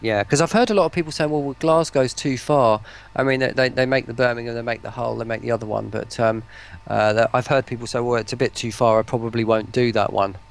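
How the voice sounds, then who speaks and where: A man hosting a casual livestream chat in a halting, musing way. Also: mid-range voice, fairly even intonation, gravelly. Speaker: stream host.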